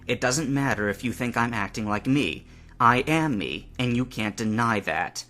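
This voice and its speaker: A man's voice: medium pitch, animated, moderate pace. A man playing a young man who has turned cold and menacing, giving curt commands. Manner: cold commands, bursts into desperate shouting.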